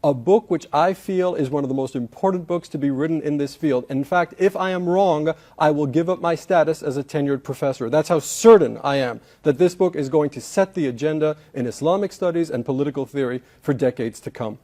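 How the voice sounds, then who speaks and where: Mellow-voiced male interview host. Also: a little high, brisk, hardly any pauses. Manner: formal, articulate, listens with short hums. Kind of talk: formal interview hosting.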